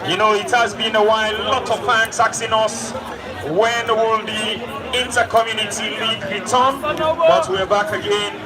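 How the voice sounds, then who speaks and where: A man's voice: high-pitched, steady tone, moderate pace. A man with a Sierra Leonean accent giving live football commentary in emphatic, repetitive phrases. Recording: distant mic in loud crowd. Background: loud crowd at outdoor ground.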